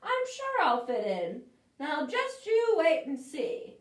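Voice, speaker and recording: A woman's voice: high-pitched, wildly swooping pitch, takes it slowly, reverberant, distant mic, voice pushed up, heavily acted, rough recording. Woman voicing a cheery little pumpkin boy. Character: cheerful, friendly young male pumpkin.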